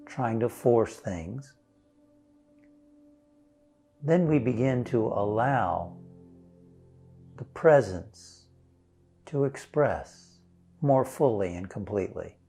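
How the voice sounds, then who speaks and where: Man, mid-pitched voice, very slow pace, gentle guided meditation delivery, long pauses, soft instrumental music behind. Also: breathy edge. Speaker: minister leading a guided meditation.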